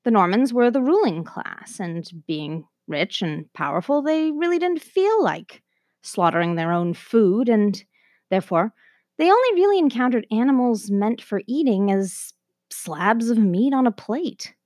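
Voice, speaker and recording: Podcast host, Canadian woman, high and very expressive, scripted educational narration with an enthusiastic feel, dry studio sound. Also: unhurried, no echo.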